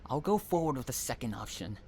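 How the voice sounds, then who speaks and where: A man playing an obsessive, unhinged stalker and kidnapper in an intimate audio roleplay, taunting and swinging between soft coaxing and menace. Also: medium pitch, big pitch swings, quick pace, a little hiss or hum, natural voice. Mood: soft, threatening.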